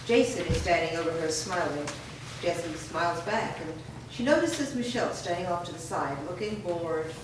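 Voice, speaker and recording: A woman's voice: lowish voice, lively intonation, moderate pace. Woman reading stage directions, echoey. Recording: echoey room, far mic, hiss.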